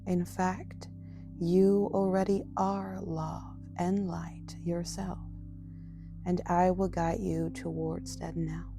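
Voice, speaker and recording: A woman guides a relaxation meditation in a gentle, soothing voice. She speaks very slowly, with a fry at the edges of her words. Soft meditation music plays clearly behind her. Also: fairly low pitch, little pitch movement. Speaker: yoga nidra guide.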